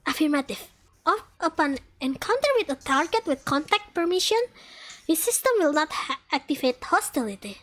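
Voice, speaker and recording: A woman voicing an amnesiac robot girl who speaks in stiff, halting system reports in a live anime game fandub. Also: high voice, pitched below the performer's own voice. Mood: blank, mechanical. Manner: flat, formal, halting machine-like phrasing.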